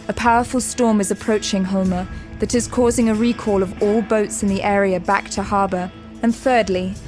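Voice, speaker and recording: A woman giving formal, measured scripted narration for a sci-fi audio drama. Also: medium pitch, a little mellow. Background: music.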